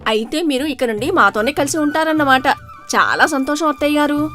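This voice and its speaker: A woman's voice: high voice, lively intonation, fairly quick, sharp tone, soft background music. A woman voicing a greedy, two-faced young daughter-in-law in a storybook tale, with a pointed manner. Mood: sweet.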